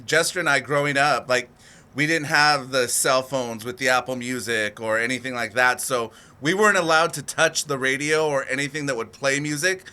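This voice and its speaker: A man's voice: medium pitch, steady tone, moderate pace, sharp tone, clear, resonant tone. A man around forty co-hosting a comedy podcast, teasing and joking in casual banter. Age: 40s. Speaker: podcast co-host.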